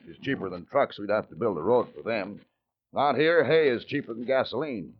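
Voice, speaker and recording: An actor playing a matter-of-fact mine boss in a vintage radio drama. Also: male voice, lowish voice, a little fast, lightly acted. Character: plain-spoken male mine boss in the desert. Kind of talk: 1940s radio acting. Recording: muffled old radio recording, faint hiss.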